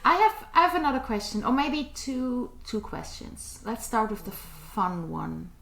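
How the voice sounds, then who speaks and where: A woman hosting a podcast, asking her guest questions in a friendly way with pauses. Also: on the high side, very animated, creaky, a bit bright, low-quality recording. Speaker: podcast host. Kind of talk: interview questions.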